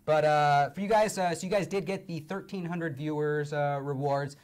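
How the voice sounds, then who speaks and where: Young male stream host, a bit hoarse, upbeat, lapel mic in a studio. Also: on the high side, fairly even intonation, moderate pace, a little mellow. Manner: upbeat, filler-heavy presenting. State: hoarse from long talking. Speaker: livestream host. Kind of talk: casual game-show chat. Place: indoor studio set.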